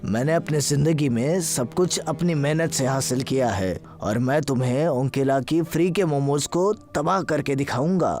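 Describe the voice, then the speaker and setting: A man's voice: medium pitch, normal speed, few pauses, a little mellow, soft background music, lightly acted. A man voicing an arrogant, scheming boss, the menacing and commanding villain of a cartoon moral story. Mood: defiant, boastful.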